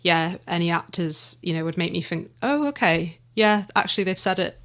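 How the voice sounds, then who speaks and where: A young woman with a slightly low voice talks eagerly as a guest on a radio chat. She scatters "like" and "um" through her speech as she explains things. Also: normal speed, a bit bright. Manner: eager, frequent "like" and "um" fillers. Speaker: audio drama writer and guest. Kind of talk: casual interview chat.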